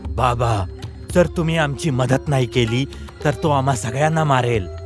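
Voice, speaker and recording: A man voicing a stern lion king in an animated fable, with a commanding manner. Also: medium pitch, normal speed, soft background music, close to an everyday voice. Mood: pleading. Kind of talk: dubbed animated moral fable.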